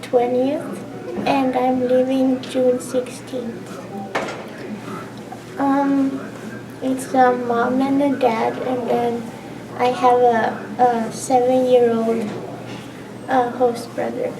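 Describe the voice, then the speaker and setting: A teenage exchange student from Sweden, answering hesitantly with fillers. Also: female voice, high voice, little pitch movement, extremely slow, long pauses, reverberant, distant mic, noisy background. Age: teens.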